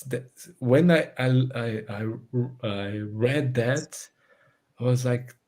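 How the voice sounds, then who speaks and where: A man's voice: medium pitch, slow, a little mellow. A Brazilian-born man raised in Portugal, a native Portuguese speaker with a Portuguese accent, talking earnestly as a podcast guest and restarting phrases as he searches for English words. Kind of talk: casual conversation.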